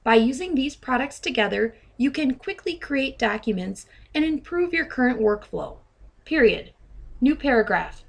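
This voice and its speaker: A woman trainer narrating an instructional software demonstration, speaking deliberately and enunciating dictated commands. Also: high-pitched, expressive, creaky. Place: voice-over to a screen recording.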